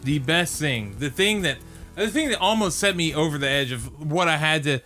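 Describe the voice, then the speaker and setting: A man's voice: on the high side, animated, normal speed, sharp tone, faint music behind. A man co-hosting a podcast, chatting casually in a rambling way that circles back mid-thought. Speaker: podcast co-host. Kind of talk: casual chat.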